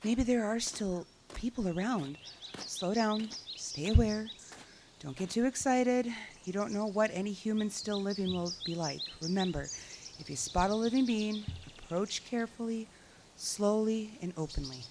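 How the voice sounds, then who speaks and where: A woman's voice: mid-range voice, normal speed, noisy background, lightly acted. A woman playing a wary, lonely wanderer in a post-collapse radio drama, speaking expressively. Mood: cautious. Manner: expressive, questioning. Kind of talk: live radio drama acting. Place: performance club stage, before a live audience.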